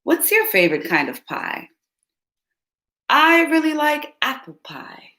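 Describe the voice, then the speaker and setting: Female host reading a picture book to kids; animated, fairly high voice, talks to the children directly. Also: takes it slowly. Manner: speaks directly to young listeners, asks them questions. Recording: roomy echo.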